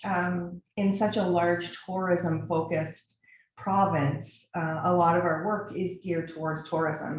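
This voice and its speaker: Canadian woman, webinar presenter, slightly low voice, earnest and enthusiastic, recorded in a very reverberant room. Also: a little mellow. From Nova Scotia, Canada. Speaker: webinar presenter, brand strategist. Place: indoor echoey room, online webinar. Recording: very echoey room, faint noise.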